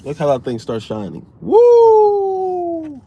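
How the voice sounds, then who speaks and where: A man's voice: very high voice, wildly swooping pitch, takes it slowly, lo-fi sound. A man from Miami hosting a casual livestream from his car, chatting loosely with viewers and greeting them by name. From Miami, Florida. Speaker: livestream host. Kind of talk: casual live chat with viewers. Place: inside a car. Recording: loud car and traffic noise.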